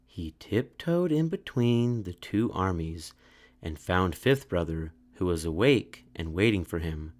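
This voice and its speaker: A man reading a children's story aloud in a measured, expressive way. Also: lowish voice. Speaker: storybook narrator.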